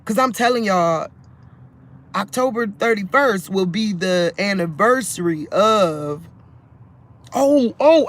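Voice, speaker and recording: A man with a fairly high voice speaks straight into his phone for a religious vlog. He rambles in a loose, conversational way, often breaking off and restarting his sentences. Phone mic. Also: fairly bright tone.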